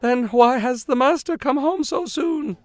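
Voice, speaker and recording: Man putting on a shrill, flustered housekeeper woman. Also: measured pace, dry recording. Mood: alarmed, flustered. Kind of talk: storybook character reading.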